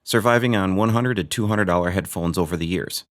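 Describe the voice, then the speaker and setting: A man's voice: low voice, fairly quick, heavy background noise. A man hosting a gear review video, narrating from a script with dry, joking asides.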